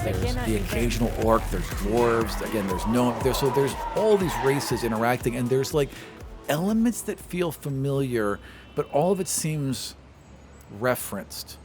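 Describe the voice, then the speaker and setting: A man working as game master, narrating a tabletop game in a casual, improvised way. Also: mid-range voice.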